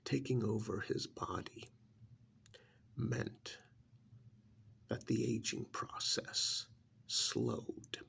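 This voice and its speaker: A man playing an arrogant, contemptuous ancient alien in an ASMR roleplay, speaking in a gravelly, breathy half-whisper with deliberate, condescending pauses. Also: lowish voice, expressive, a bit bright, a little hiss or hum, heavily acted. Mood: sly, self-satisfied. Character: arrogant ancient alien stranded in a human body, contemptuous and menacing.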